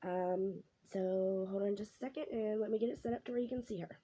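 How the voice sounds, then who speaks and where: A woman, hoarse from losing her voice, chatting casually to her viewers in a rambling way. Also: medium pitch, fairly even intonation, brisk. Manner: rambling, many ums and self-corrections. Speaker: vlogger. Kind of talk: casual chat to camera. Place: home kitchen while cooking. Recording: dull lo-fi home recording, faint noise.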